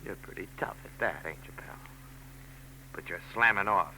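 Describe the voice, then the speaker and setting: A man's voice: deep voice, normal speed, bright, forceful tone, a little hiss or hum, low-quality recording. An actor playing a cold, taunting bald killer in a 1940s radio drama, sneering and full of tough-guy slang. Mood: menacing. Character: bald murderous male gunman, cold and taunting.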